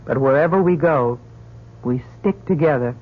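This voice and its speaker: A woman's voice: low voice, normal speed. A woman playing a brave, devoted doctor and astronaut with earnest, dramatic delivery in an old radio drama. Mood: tender resolve. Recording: muffled, noisy old radio recording.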